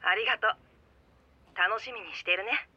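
An actress playing a gentle, supportive detective's wife, heard through a telephone filter in an audio drama. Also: female voice, medium pitch, normal speed, breathy edge, muffled recording. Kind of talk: Japanese audio drama acting. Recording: thin, band-limited telephone sound.

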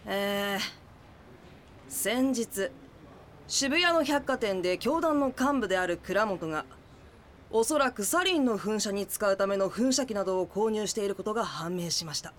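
An actor playing a police investigator giving a formal, orderly report in an audio drama. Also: male voice, sits high, animated, normal speed, a bit bright, slight background noise. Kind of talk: Japanese audio drama acting.

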